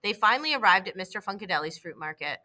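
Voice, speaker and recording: A woman with a bright, clear-cut storytelling delivery reads a children's book aloud. Her pitch sits in the middle, and she narrates expressively and deliberately. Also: animated. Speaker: storybook reader.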